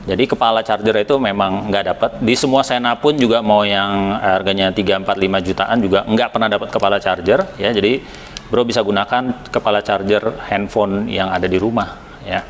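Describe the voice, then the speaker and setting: A man's voice: lowish voice, steady tone, fairly quick, slight background noise. An Indonesian man giving a casual, conversational product review, hesitating as he goes and mixing in occasional English words. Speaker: product reviewer from a helmet shop.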